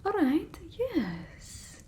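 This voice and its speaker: Girl doing a condescending vampire lady, soft dark whispering, asmr roleplay, bit of hiss on the close mic. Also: sits high, very animated, heavily acted. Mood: doting, fussy. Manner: halting, fussy, gently bossy, blunt. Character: fussy, condescending female vampire doting on human familiar. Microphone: close ASMR microphone.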